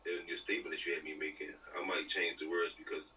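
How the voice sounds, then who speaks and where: A man's voice: low voice, little pitch movement, quick pace, pushed and bright. A man speaking as an actor in a casual discussion on a video call, insistent and assertive, restarting his sentences. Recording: distant video-call audio, faint noise.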